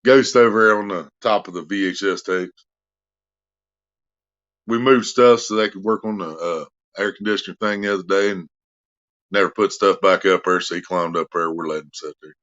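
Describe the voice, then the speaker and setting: A man's voice: sits low, moderate pace, no echo, dull audio. A man, chatting crudely at home and joking around. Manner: crude joking, bursts into loud off-key singing. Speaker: vlogger. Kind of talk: casual chat at home.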